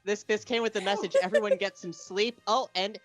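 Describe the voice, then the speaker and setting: A woman hosting a casual livestream chat, speaking haltingly and often repeating her words. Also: mid-range voice, fairly even intonation, loud music behind the voice. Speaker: livestream host.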